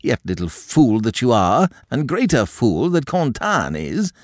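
Man doing a shrill scandalized old countess. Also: huge pitch swings, a little slow, no room sound, studio quality. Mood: indignant. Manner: shocked, scolding, high-pitched. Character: haughty prudish aristocratic older countess.

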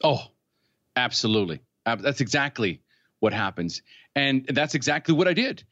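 Animated man telling his story. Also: mid-range voice, moderate pace, dry recording. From California, United States. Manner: animated, frank, laughs easily. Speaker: podcast guest. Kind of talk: candid conversational storytelling. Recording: dull lo-fi sound.

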